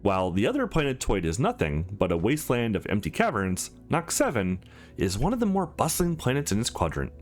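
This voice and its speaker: An upbeat, courteous woman receptionist from a sci-fi visual novel, read by a man. She delivers formal sales patter with very lively inflection. Soft music plays underneath. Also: fairly low pitch, a little fast. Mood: informative. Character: courteous, upbeat female company receptionist in space. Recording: music behind the voice.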